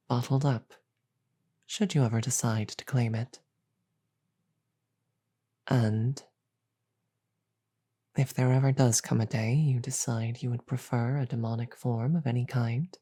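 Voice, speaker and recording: A woman playing a regal, measured demon queen in an audio roleplay, grave and wryly amused, pausing deliberately and often slipping toward a whisper. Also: extremely low pitch, mellow, exaggerated voice. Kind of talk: scripted audio roleplay, slow dramatic delivery.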